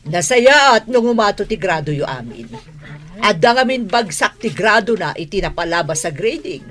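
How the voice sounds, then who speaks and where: A woman's voice: medium pitch, sing-song, normal speed, fairly bright tone. A woman playing a strict, no-nonsense schoolteacher in a radio drama.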